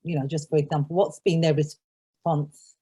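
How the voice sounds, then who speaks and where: A woman's voice: deep voice, little pitch movement, moderate pace, warm tone, husky. A woman calling in with a question, rephrasing it as she goes. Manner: searching, rephrases her questions. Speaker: caller asking a question.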